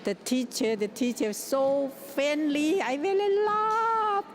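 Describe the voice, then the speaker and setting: Older woman, non-native English speaker; high, slow, in a noisy room. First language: not English. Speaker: student in an English class for seniors.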